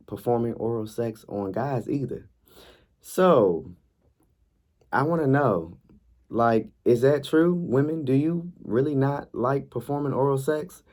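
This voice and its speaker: A young man vlogging in a laid-back, chatty way, sounding a bit congested and raspy from a night of yelling. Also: mid-range voice. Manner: laid-back, chatty, frequent ums and likes. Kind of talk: casual vlog talk, reading posts aloud.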